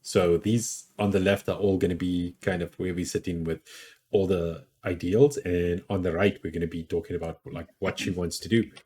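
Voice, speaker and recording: A man with a deep, gruff voice giving casual advice on content creation in a rambling way, full of restarts. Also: fairly even intonation, moderate pace, a little mellow. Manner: rambling, many restarts and fillers. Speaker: podcast host.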